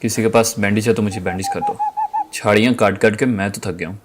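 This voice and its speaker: A man's voice: fairly low pitch, fast talker, noisy background, natural voice. A man playing a clever, self-assured young university student in an audio drama.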